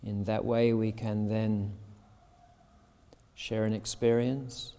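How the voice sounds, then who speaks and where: A man leading a guided meditation as a yoga teacher, speaking soothingly and deliberately in short phrases with pauses. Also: lowish voice, little pitch movement, creaky, warm tone, clean tone. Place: yoga shala with a seated group. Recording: long reverb of a large hall.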